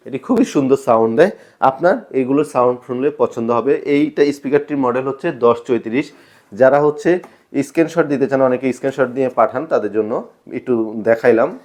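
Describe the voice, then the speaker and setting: A man's voice: mid-range voice, darkish tone. A man with a Bangladeshi accent giving an informal, explanatory product showcase to viewers as a shop presenter, often repeating his points. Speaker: shop presenter selling sound equipment.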